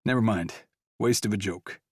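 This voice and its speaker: An actor playing a laid-back stoner accountant, chatty, slangy and jokey, in a full-cast audiobook. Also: male voice, fairly low pitch, moderate pace, breathy edge, dry recording, studio quality. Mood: joking.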